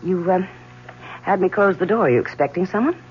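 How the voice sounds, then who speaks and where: A woman's voice: lowish voice, animated, a little fast, lightly acted. A woman playing a coy, self-assured secretary and mistress in an old radio drama. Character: beautiful, bold secretary and mistress of the boss. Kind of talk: 1970s radio drama acting. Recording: muffled, faintly noisy old radio broadcast.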